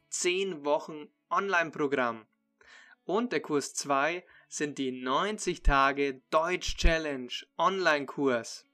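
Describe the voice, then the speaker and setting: A man who teaches German online talks slowly and deliberately, laying out a course sale straight to the viewer. His voice is bright and clear, sitting a bit high for a man. Soft instrumental music plays under him. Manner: slow, deliberate, addresses the listener directly. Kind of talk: promotional sales pitch to learners.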